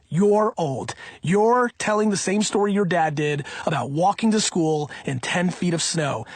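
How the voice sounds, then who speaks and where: A man reading an audiobook in the first person, in an emphatic, punchy, conversational way with a husky voice. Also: high voice, no room sound. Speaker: audiobook reader.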